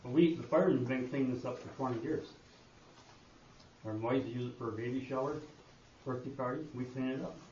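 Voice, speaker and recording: A man of medium pitch makes his case informally at a meeting. He is far from the microphone, and the room echoes with a faint noise behind him. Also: fairly quick, pauses often, darkish tone. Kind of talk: informal argument at a public meeting. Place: indoor meeting hall. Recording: distant, muffled, echoey hall, faint hiss.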